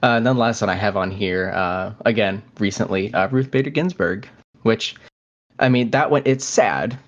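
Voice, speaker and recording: Lower-voiced man, slightly muffled podcast chat. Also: moderate pace. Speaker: podcast co-host. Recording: slightly dull, lo-fi sound.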